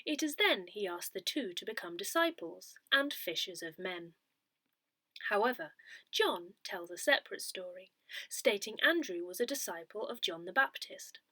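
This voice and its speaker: A woman reading a scripted newsletter aloud in a measured, expressive way. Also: medium pitch, dry recording. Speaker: newsletter reader.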